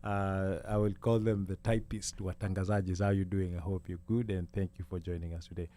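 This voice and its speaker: A man's voice: low voice, level tone, brisk, dark tone. A man talking as a panelist on a studio show, rambling and chuckling, mixing Swahili into English. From Kenya. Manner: rambling, laughs between phrases, mixes Swahili. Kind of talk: casual panel chat.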